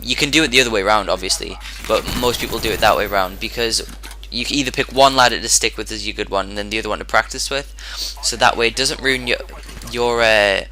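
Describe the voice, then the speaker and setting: A man with a hoarse, sore-throated voice giving casual commentary over his own gameplay, rambling and often restarting his sentences. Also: fairly low pitch, fast, hardly any pauses, bright, forceful tone. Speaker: gaming commentator.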